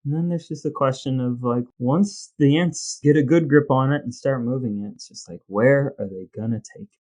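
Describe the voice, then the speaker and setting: A man's voice: medium pitch, normal speed, darkish tone. A man co-hosting a casual comic podcast, playfully spinning absurd ideas in a matter-of-fact way. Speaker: podcast co-host.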